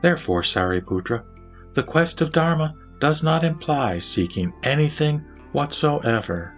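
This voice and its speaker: A man's voice: on the high side, animated, unhurried, voice pushed up. A man voicing Vimalakirti, a wise lay sage teaching in a solemn, earnest way over faint music.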